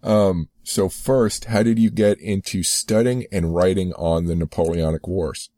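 Male podcast host, measured delivery, very low dark voice, dry recording. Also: fairly even intonation, no room sound. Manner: measured, scripted intro. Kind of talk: podcast interview.

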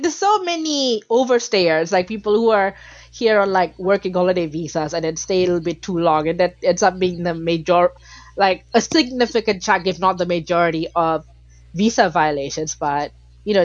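A woman's voice: lowish voice, moderate pace, dry recording, lo-fi sound. A woman from Malaysia, a guest in a casual interview, talking with passion and many filler words.

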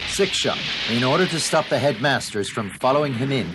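A man's voice: medium pitch, expressive, brisk, lightly acted. A man voicing a terse, stern robot warrior in a dubbed cartoon. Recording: gravelly clip under loud battle noise.

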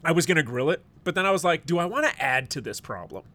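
A man's voice: on the high side, big pitch swings, quick pace, sharp tone. A middle-aged man hosting a podcast in casual chat, a bit stuffed up and sniffly, rambling and joking. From United States. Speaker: podcast host.

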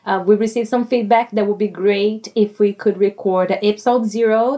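A woman in her forties from Brazil, speaking English with a Brazilian Portuguese accent and hosting a podcast in an earnest, measured way. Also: medium pitch, a little mellow, dry recording. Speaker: podcast host. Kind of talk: scripted podcast intro and casual chat.

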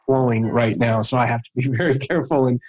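A male podcast host with a medium-pitched voice asks questions in a casual, conversational way. He thinks aloud and circles a little before he lands on the question. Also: quick pace, poor audio.

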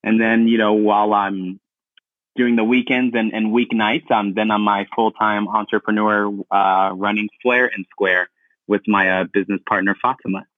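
A man's voice: on the low side, moderate pace, dry recording. Man from Ghana on a tinny line. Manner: relaxed storyteller with many fillers. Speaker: podcast guest, entrepreneur. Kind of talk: casual interview talk. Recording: dry tinny phone-like line.

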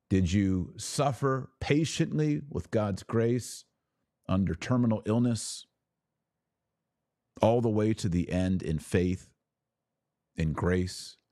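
A man hosting a podcast, giving a religious teaching monologue in an emphatic, deliberate way with dramatic pauses and rhetorical questions. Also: deep voice, warm tone, no echo, clean studio sound. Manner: didactic, preacher-like rhetorical questions. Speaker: podcast host.

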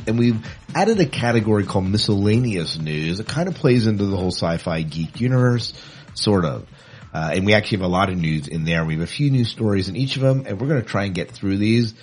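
A man's voice: fairly low pitch, a little mellow, a little hiss or hum. A man hosting a podcast in a casual, chatty, informal way, often repeating himself. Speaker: podcast host.

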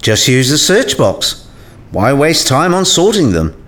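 Guy doing a laid-back know-it-all professor, medium pitch, dry and teasing, radio play dialogue. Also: sing-song, unhurried, a bit bright. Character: relaxed, teasing male professor, know-it-all and dryly witty.

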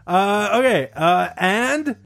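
Lively high-voiced joking man. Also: slow, vocal fry. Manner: laughs often, animated and playful. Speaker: quiz host. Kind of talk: casual podcast banter.

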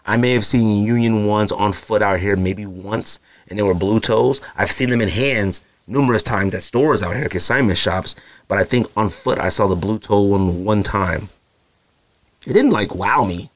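A man's voice: lowish voice, moderate pace, no room sound. A man from Arizona, hosting a podcast and talking casually in a slangy, rambling way, often mimicking other people. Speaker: podcast host. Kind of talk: casual solo podcast talk.

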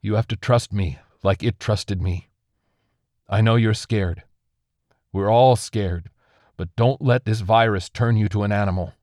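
A man's voice: lowish voice, measured pace, a little mellow, no room sound, clean studio sound, close to an everyday voice. A man voicing a defiant, wounded soldier who argues urgently and pleads with his comrades. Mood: pleading.

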